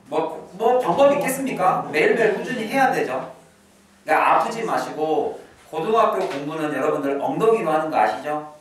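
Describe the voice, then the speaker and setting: A middle-aged male English teacher giving an exam-prep lecture to high school students, emphatic and lively, dropping to low asides. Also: brisk, a little hiss or hum. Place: academy classroom. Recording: echoey classroom from a distance.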